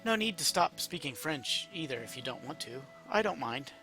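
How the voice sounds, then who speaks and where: A man's voice: fairly high pitch, very animated, normal speed, sharp tone, soft background music, exaggerated voice. A man voicing a casual, friendly male hero in a read-aloud story. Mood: easygoing. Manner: easygoing, informal.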